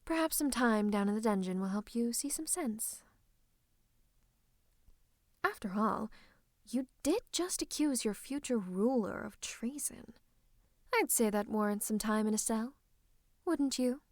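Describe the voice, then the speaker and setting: A woman's voice: on the high side, expressive, a little slow, pauses often, no room sound, studio quality. A woman playing a scheming royal advisor and sorcerer in an ASMR roleplay, smug and mock-courteous, slipping into menacing whispers. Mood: coolly threatening. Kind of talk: ASMR roleplay, theatrical monologue to the listener.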